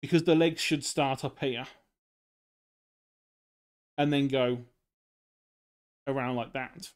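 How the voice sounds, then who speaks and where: Young male streamer, mid-pitched, casual and rambling, teaches drawing. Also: fairly even intonation, slow, clear, resonant tone. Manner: rambling, loose explanations with many fillers. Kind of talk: casual live-stream art tutorial.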